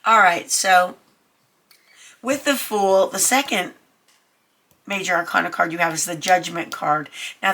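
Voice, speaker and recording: Raspy-voiced woman doing a tarot reading. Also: fairly low pitch, pushed and bright. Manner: chatty, reassuring, many ums. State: getting over a cold. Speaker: tarot reader. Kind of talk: casual tarot reading to camera.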